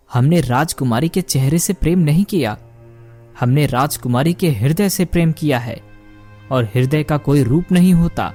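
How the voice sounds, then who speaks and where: A man's voice: on the high side, darkish tone. A man voicing a devoted young prince in a cartoon story, earnest and declamatory.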